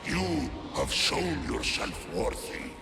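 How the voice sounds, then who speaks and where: A monstrous titan villain from a video game, grandiose and menacing. The voice is gravelly and swings wildly in pitch, slow and echoing as it declaims. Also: male voice, wildly swooping pitch, over loud music, full character voice. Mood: menacing, scornful.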